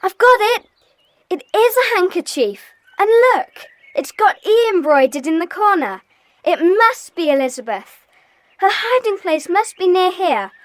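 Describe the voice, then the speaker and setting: Very high-pitched excitable little schoolgirl. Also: a little slow, exaggerated voice. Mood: excited. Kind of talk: children's audio drama acting.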